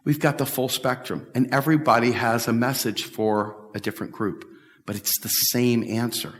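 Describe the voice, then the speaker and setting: A middle-aged man giving an animated, emphatic talk at a policy event, repeating his points and gesturing as he answers questions. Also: mid-range voice, normal speed. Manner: emphatic, repetitive, talks with big hand gestures. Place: large bright hall with windows, standing before a stage table. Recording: echoey hall from far off.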